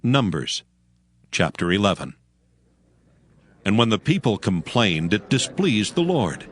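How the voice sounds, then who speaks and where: A man narrating scripture from a script in a measured, formal manner. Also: fairly low pitch, big pitch swings. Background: music.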